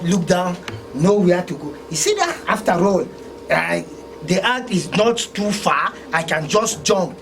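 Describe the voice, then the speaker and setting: A woman with a Nigerian accent telling a folktale as an oral storyteller, acting out what the characters say. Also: mid-range voice. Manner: acts out the characters' speech. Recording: noisy room.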